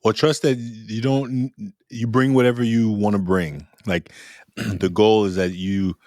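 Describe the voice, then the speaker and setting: Male podcast host, deep pitch, dry close recording, reassuring, encouraging, conversational. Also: level tone, measured pace, a little mellow, no echo. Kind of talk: casual, conversational interviewing.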